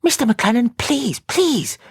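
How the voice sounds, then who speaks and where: A reader voicing a tense young woman pleading for her missing brother, in a breathy voice. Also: on the high side, very animated, slow, dry recording, studio quality, full character voice. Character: young woman, anxious sister in distress.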